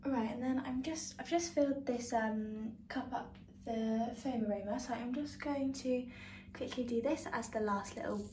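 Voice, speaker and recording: A woman in her twenties chatting casually through her evening routine at home, rambling with lots of ums and quick asides. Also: on the high side, background noise. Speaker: vlogger. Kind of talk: casual chat. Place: small flat, indoors, lounge, kitchen and bathroom. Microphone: phone held at arm's length.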